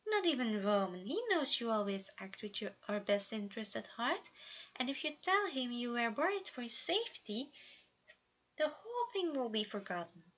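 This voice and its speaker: A woman voicing a gentle, fatherly man in a story reading, cheerful, encouraging and soothing. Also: on the high side, sing-song, moderate pace, dull audio, pitched above the performer's own voice. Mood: gentle, reassuring.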